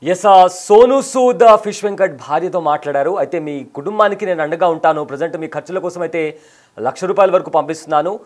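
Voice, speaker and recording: A man giving a news report in a halting delivery. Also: a little high, darkish tone.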